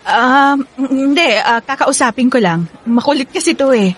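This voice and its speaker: A jealous Filipino girlfriend in a radio drama, affectionate but guarded. Her voice is fairly high and noticeably husky. She speaks in an insistent, pleading way. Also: lively intonation, moderate pace. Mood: evasive.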